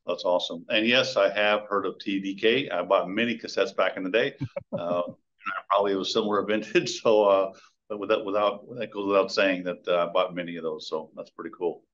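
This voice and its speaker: A middle-aged man hosting an interview over a video call, polite and affirming, with halting restarts. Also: low voice, fairly even intonation, fairly bright tone. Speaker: interview host. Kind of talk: interview questions. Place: room with brick wall. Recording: dull lo-fi video call audio.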